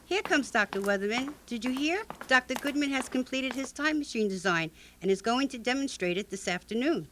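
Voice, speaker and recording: A woman's voice: sits high, animated, a little fast, hardly any pauses, a bit bright, a little hiss or hum. A woman playing a scientist's loyal top assistant in an old-time radio drama. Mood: surprised. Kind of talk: Golden Age radio drama acting.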